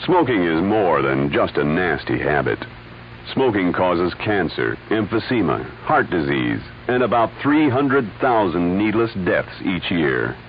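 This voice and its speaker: A man delivers a scripted radio ad. His voice is very low, with lively rises and falls. The recording is a bit dull. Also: measured pace, slight background noise. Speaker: commercial announcer.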